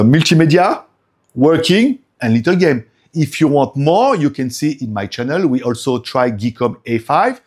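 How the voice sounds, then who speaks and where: A man in his forties with a French accent, a native French speaker, talking casually in broken English and laughing often as he reviews tech at home. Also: medium pitch. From France.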